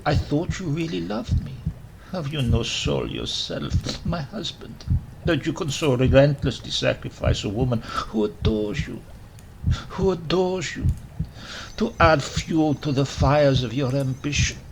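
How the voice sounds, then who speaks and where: A man voicing a devoted, anguished woman, a proud and reproachful wife, with slow, mournful delivery in a horror story. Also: fairly high pitch, vocal fry, slight background noise, low-quality recording. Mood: wounded reproach.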